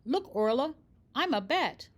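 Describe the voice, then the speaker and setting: Woman playing a young boy bird, storybook character, hopeful, exclamatory, swooping higher pitch, unhurried. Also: big pitch swings, exaggerated voice. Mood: eager, hopeful. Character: young male bird, eager, hopeful, easily deflated. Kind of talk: children's storybook read-aloud.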